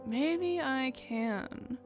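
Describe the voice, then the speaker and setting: Woman doing a moody teen pegasus girl, kinda high voice, easily frustrated, cartoon drama, music under it. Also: lively intonation, very slow, full character voice. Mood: wry, downcast. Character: sullen, frustrated adolescent pegasus girl, Silverstreak. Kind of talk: animated storybook fan drama.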